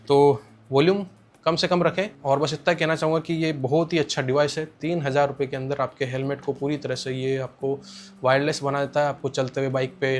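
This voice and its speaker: A man's voice: mid-range voice, a little hiss or hum. An Indian man giving a casual spoken product review, explaining things plainly and repeating points for emphasis.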